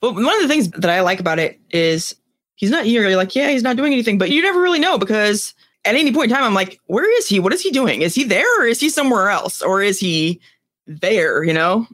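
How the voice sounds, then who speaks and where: Woman with very lively, swooping pitch. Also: fairly quick. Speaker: podcast co-host. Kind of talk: casual review chat about TV.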